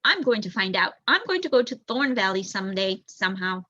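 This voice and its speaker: A woman's voice: medium pitch, big pitch swings, a little fast, pushed and bright, dry recording, highs cut off. A woman voicing an eager, bold young boy mouse in a storybook, insistent and pleading. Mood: determined.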